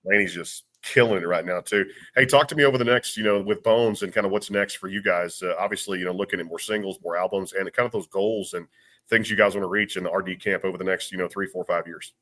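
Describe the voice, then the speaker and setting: A man's voice: sits low, steady tone, fast talker, fairly bright tone. A man hosting a radio interview, upbeat and eager, saying "y'all" and promoting the guest's work. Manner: upbeat, enthusiastic, plugs the show. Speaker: radio show host.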